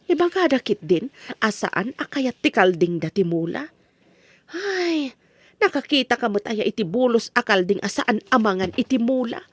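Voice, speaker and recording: A woman playing a practical, complaining farmer's wife in a comic radio drama, with an Ilocano accent and a husky voice. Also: on the high side, lively intonation, moderate pace, close to an everyday voice. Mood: indignant. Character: fretful, grumbling farmer's wife, practical and indignant.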